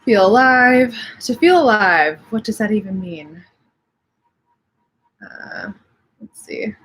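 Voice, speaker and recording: Sniffly, husky woman hosting a live stream. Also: mid-range voice, animated, slow, a bit bright, low-quality recording. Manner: chatty, reads and answers viewer comments. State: sniffly from allergies. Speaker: livestream host. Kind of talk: casual live stream chat. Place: home.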